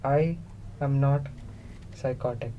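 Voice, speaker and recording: A man playing a captive, all-knowing non-human being in a radio play, curious and literal, speaking in halting, deliberate pieces. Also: mid-range voice, steady tone, dull audio, slight background noise, close to an everyday voice. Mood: solemn, matter-of-fact. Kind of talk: semi-improvised radio play dialogue.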